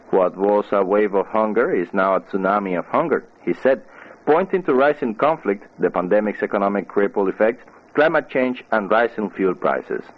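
A man's voice: lowish voice, phone-quality sound. A man reading scripted news as a radio newsreader on a shortwave broadcast.

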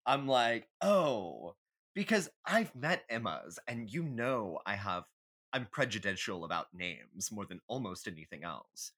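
A man's voice: on the low side, big pitch swings, measured pace, a bit bright. A man co-hosting a podcast, chatting casually and comedically in an emphatic, opinionated way with some swearing. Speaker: podcast host.

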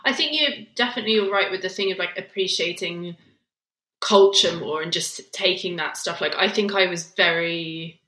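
A young woman, speaking on the lower side of her range and thinking aloud as she goes. Her reflective, self-deprecating talk wanders before it settles. The recording has a noticeable roomy echo around her. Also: moderate pace, pushed and bright. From England, near Luton. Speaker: guest. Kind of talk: casual interview chat.

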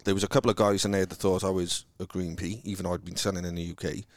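A man from Wales with a Welsh accent, chatting casually about his sales career in a halting, rambling way, with many restarts. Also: low-pitched, level tone, dry recording, studio quality. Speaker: car salesman guest. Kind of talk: casual conversation.

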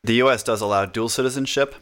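A man from the United States talking casually in a relaxed conversation, chatty and self-deprecating, laughing often between points. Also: mid-range voice, quick pace, clear, resonant tone.

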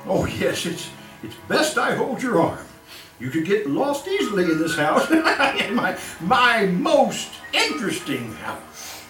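A man's voice: sits high, huge pitch swings, takes it slowly, a bit bright, exaggerated voice. An actor playing a gleeful, sinister old male killer in old-time radio style, stammering and chuckling with mock courtesy. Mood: gleeful menace. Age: older. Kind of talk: live old-time radio horror acting. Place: live stage performance. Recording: roomy, distant live stage with music.

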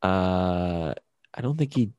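A lower-pitched man in easy, joking podcast chat, his voice swinging up and down as he plays around with silly accents. He is recorded close and dry, with no room sound around him. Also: animated, slow pace, warm tone, smooth, no echo, lo-fi sound. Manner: joking, playful, tosses in silly put-on accents. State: sleepy. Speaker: podcast co-host. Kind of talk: casual chat.

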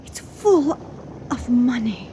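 An actress playing an anxious, devoted older wife in a radio drama, speaking in a prim, earnest and emphatic way. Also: female voice, fairly high pitch, sing-song, slow, warm tone. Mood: frightened. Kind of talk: 1980 radio drama acting. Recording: noisy old radio drama recording.

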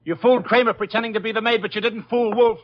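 A dull old radio recording of a man acting a tough, wisecracking private-eye sidekick. His voice is fairly high for a man, with breezy slang and a sardonic edge, in classic 1950s detective drama style. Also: fairly even intonation, fast, heavy background noise, close to an everyday voice. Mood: urgent, alarmed.

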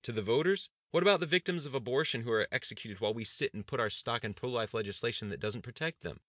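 A man voicing a loud, defiant governor. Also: mid-range voice, fast, dry recording, studio quality, voice pushed up, natural voice. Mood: perturbed. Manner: loud and insistent. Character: defiant male governor, stubborn and forceful.

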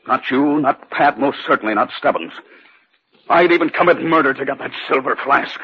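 A man's voice: mid-range voice, normal speed, close to an everyday voice. An actor playing a sullen, obsessed, threatening man in vintage radio drama style. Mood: bitter, obsessed. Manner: threatening, defiant. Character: sullen, obsessed man, menacing, capable of murder. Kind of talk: 1940s-50s radio drama acting. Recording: dull, slightly noisy vintage radio recording.

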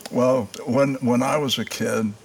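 Older male podcast host, gravelly voice, halting delivery, faint background hiss. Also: medium pitch, fairly even intonation, darkish tone. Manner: halting, with many "uh" pauses. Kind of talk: casual interview.

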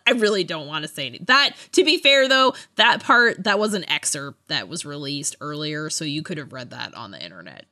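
Animated, bright-voiced woman chatting casually. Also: low-pitched, normal speed, no room sound, clean studio sound. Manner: animated, giggly, self-interrupting. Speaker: podcast co-host. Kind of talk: casual book discussion chat.

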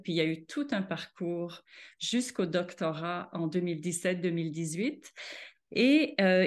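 A woman, co-hosting a podcast and introducing her guest in a measured way with fillers. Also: medium pitch. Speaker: podcast co-host. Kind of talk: interview introduction.

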